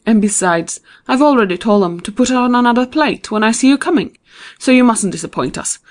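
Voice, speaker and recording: A man's voice: extremely high pitch, animated, fairly quick, pitched above the performer's own voice. A male narrator voicing a fussy, hot-tempered older farm aunt who scolds and exclaims in folksy speech. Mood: hospitable.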